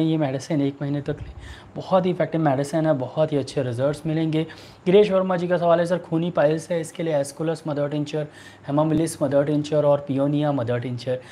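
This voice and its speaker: A man in his thirties with an Indian accent, a doctor answering viewer questions in a halting, repetitive way. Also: on the high side, dark tone, a little hiss or hum. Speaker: homeopathic doctor hosting a live online clinic. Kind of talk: answering viewer questions, giving remedy advice. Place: small furnished room indoors.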